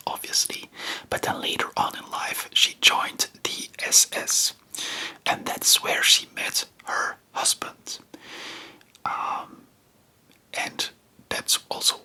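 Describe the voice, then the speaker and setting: A person whispering in an informal, rambling ASMR style, breathy and unvoiced, chatting about history close to the microphone. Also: bright, forceful tone. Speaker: host of a history ASMR channel.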